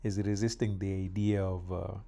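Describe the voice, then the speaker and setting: A middle-aged man from Kenya chatting casually while doing yard work outdoors, with relaxed pauses and fillers. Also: sits low, vocal fry. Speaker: vlogger.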